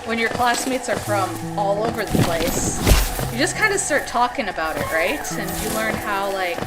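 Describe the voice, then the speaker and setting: Woman, 30s, from Canada, streamer, rambling casual chat, lots of fillers, mid-pitched voice, loud music behind. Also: animated, moderate pace, poor audio. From Canada (Alberta). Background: loud background music.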